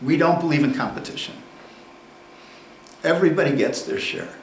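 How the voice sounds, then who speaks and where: A man giving a university lecture, deliberate and unhurried, posing questions and then answering them. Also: on the high side, slight background noise. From United States. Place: lecture room. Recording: echoey room, distant mic, faint ambience.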